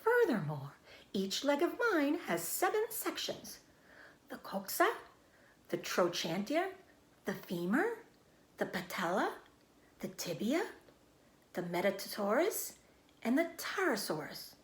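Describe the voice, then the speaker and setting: Patient storybook spider, female, woman reader playing her; measured, knowing, deliberate delivery in an echoey room. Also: mid-range voice, wildly swooping pitch. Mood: knowing, precise. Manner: measured, deliberate, softly instructive.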